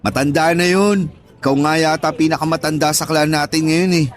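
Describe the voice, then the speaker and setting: A man's voice: fairly high pitch, steady tone, fairly quick, soft background music, close to an everyday voice. A man with a Filipino accent acting a cheeky, eager guy in his early twenties, playful and colloquial, in a radio drama. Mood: teasing. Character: cheeky lovelorn 22-year-old guy, eager younger friend. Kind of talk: Tagalog radio drama dialogue.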